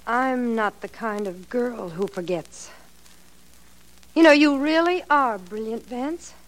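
A woman's voice: fairly high pitch, slow, rough recording. An actress playing a sardonic young woman killer in a 1940s radio drama, drawling and sarcastic. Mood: mocking. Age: young adult. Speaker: murder suspect.

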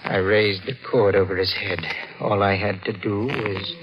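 A man's voice: fairly low pitch, steady tone, unhurried, highs cut off, very noisy, lightly acted. An actor playing a tormented young man confessing to murder, in intense, overwrought 1940s radio style. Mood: brooding confession. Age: young adult. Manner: overwrought, intense dramatic delivery. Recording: old radio recording with music behind.

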